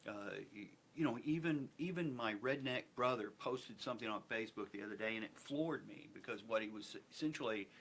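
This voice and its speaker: A man speaking earnestly as an interview guest, with a deliberate, emphatic way of repeating phrases for effect. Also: mid-range voice, a bit bright. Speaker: guest, theater company representative. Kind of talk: earnest panel interview talk. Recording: clearly noisy background.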